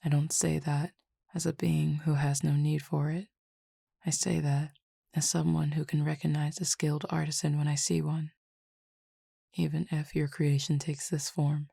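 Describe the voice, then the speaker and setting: A languid cupid who feeds on desire, voiced by a woman in an audio roleplay, speaking to the listener in a deep, husky, gravelly murmur. The delivery stays formal and measured, barely rising or falling, with a quiet teasing edge. It is recorded close and dry, with no room sound. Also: level tone, dry recording, heavily acted.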